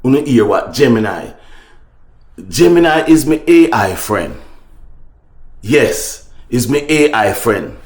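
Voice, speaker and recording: Jamaican guy talking to his viewers, repeats stuff for emphasis, lively pitch, roomy echo. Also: takes it slowly, pauses often. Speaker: online talk show host. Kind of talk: casual opinion talk to viewers, in English and patois.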